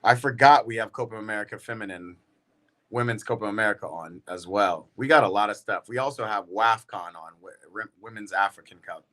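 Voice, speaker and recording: A man's voice: on the low side, fairly quick, fairly bright tone. A man hosting a football watch-party livestream, chatting casually and tiredly with viewers in slangy talk. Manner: slangy and laid-back, calls viewers bro and y'all.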